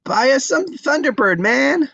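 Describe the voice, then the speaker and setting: A man's voice: extremely high pitch, animated, unhurried, gravelly, fairly bright tone, dry recording, highs cut off, pitched above the performer's own voice, exaggerated voice. A man voicing a cheeky, pushy teenage street boy with slangy, wheedling talk in a table read. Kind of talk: screenplay table read acting.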